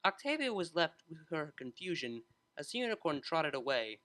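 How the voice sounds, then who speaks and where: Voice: mid-range voice, big pitch swings, normal speed, bright, forceful tone. A narrator reading a scripted story aloud in prose.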